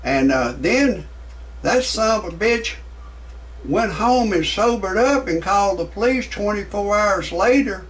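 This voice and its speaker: A man's voice: high-pitched, expressive, measured pace, a bit bright, far from the mic. A man, ranting profanely in a stand-up comedy monologue. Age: 60s. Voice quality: profane, blustering.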